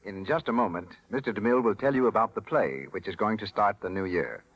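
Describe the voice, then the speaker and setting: A man's voice: lowish voice, animated, fast, poor audio. An American man working as a 1930s radio announcer, delivering scripted sponsor patter in a genial, polished sales manner. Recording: vintage 1930s radio broadcast recording.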